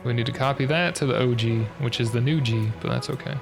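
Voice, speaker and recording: A man's voice: mid-range voice, fast talker, vocal fry, music in the background. A young man streaming a puzzle game with a stuffy, slightly nasal nose, muttering hesitantly as he works through the logic step by step. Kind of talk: thinking aloud while live-streaming a puzzle game.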